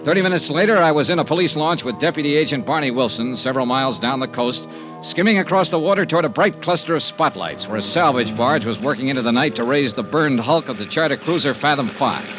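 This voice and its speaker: An American man playing a dry, persistent insurance investigator in vintage radio noir style, with measured, matter-of-fact delivery. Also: mid-range voice, lively intonation, over music, lightly acted, rough recording. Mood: brisk narration. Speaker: lead actor and narrator.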